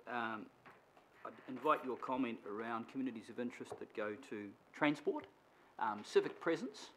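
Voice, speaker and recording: Measured male councillor asking questions. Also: mid-range voice, expressive, a bit bright, a little hiss or hum, poor audio. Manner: measured, hesitant, many fillers. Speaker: city councillor. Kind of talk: formal questions at a council meeting. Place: council chamber.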